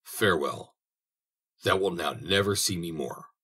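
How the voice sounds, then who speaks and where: A man's voice: sits low, expressive, unhurried, sharp tone, slightly rough, exaggerated voice. A man voicing a stern holy saint who scolds in old-fashioned speech, in an audiobook reading.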